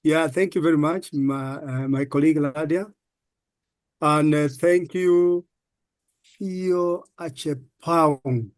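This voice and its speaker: Kenyan man, halting academic discussant. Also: on the high side, fairly even intonation, dry recording, clean studio sound. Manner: halting, repeats words, many fillers. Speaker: finance professor, panel discussant. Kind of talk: academic panel comments.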